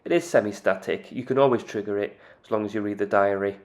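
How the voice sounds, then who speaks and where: A man hosting a video game channel, giving calm game analysis commentary with occasional "uh" fillers. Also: on the low side, fast talker, very noisy. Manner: calm explanatory delivery with "uh" fillers.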